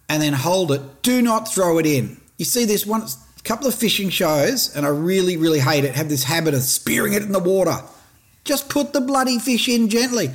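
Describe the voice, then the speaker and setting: A middle-aged Australian man talks casually on his fishing podcast. He is blunt and passionate, and his voice sits slightly high for a man. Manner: blunt, passionate, plain-spoken. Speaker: fishing show host.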